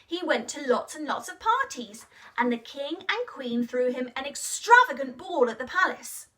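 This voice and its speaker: A woman telling a story to children in an expressive, interactive way, asking questions and encouraging them to act along. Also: high voice, moderate pace, far from the mic.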